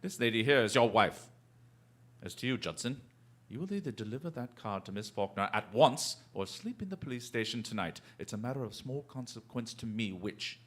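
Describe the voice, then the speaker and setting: A man acts out a shrewd gentleman detective for a radio play. His speech is formal, precise and coolly polite, swinging through a wide, animated pitch range with an air of total command. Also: moderate pace. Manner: formal, precise, theatrical diction. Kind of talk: radio drama acting.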